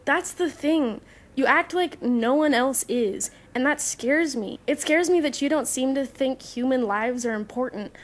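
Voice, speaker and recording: A woman's voice: high voice, unhurried. A woman playing a troubled, principled young superhero in an audio drama, speaking sincerely with firm moral conviction. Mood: upset, firm.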